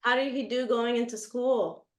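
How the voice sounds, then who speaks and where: A woman's voice: fairly high pitch, steady tone, normal speed. A woman interviewer who asks easygoing questions and chimes in with short reactions. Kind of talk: casual conversational interview. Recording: echoey room.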